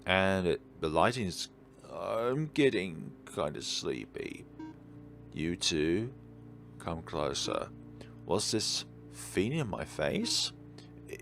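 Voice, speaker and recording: Male let's play host, very deep voice with expressive pitch swings, rambling and reflective. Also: creaky. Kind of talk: reading a visual novel aloud with rambling off-script commentary.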